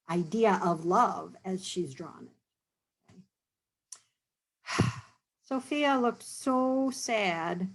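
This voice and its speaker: Slow, teacherly woman reading aloud. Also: fairly low pitch, slightly rough, rough recording. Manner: gentle, questioning, teacherly. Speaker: storytime reader. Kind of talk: children's picture book read-aloud with explanations.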